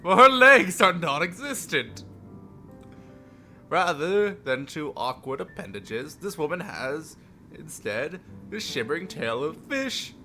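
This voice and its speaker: A man's voice: on the high side, very animated, slow, bright, forceful tone. A man livestreaming a visual novel, reading aloud theatrically and breaking off for playful, jokey asides. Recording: music behind the voice. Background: faint music.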